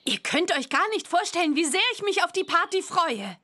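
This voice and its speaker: A young cartoon witch girl, high and animated. She sounds cheerful, girlish and keen to get going, swinging up and down as she talks. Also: normal speed, exaggerated voice. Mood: excited. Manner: bright, eager, girlish. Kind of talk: cartoon game voice acting.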